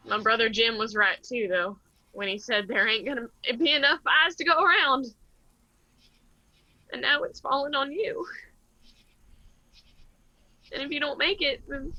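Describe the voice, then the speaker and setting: An actress playing a grieving, gentle young mountain woman in a radio drama, halting and sorrowful, with an Appalachian accent. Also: fairly high pitch, dull audio, exaggerated voice. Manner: soft-hearted, sorrowful, halting.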